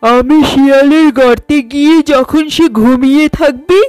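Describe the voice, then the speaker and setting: A performer voicing a helpful, determined little mouse in a children's cartoon, speaking slowly and deliberately. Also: sits high, steady tone, a little mellow, dry recording, faint music behind, low-quality recording. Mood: determined, scheming. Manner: slow, deliberate.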